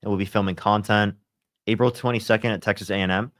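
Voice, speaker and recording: Young guy streaming, kinda stuffy nose, lowish voice, says like and you know a lot. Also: fairly even intonation, fast, fairly bright tone, no echo, clean studio sound. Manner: laid-back, filler-heavy, lots of "like" and "you know". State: congested, slightly sore throat. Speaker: livestreamer. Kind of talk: casual livestream chat reading comments.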